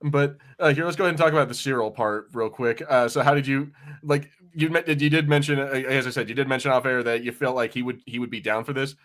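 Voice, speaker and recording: A man with an average-pitched voice hosts a casual review chat. He speaks informally, hedging and revising his sentences as he goes. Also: fairly even intonation, fast, a bit bright. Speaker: podcast host.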